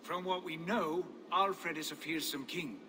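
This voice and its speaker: An actor playing a proud, confident Viking chieftain in a dramatic game cutscene, speaking in a grand, declamatory way. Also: male voice, a little high, a little slow, natural voice. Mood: assured. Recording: reverberant, with clear background noise.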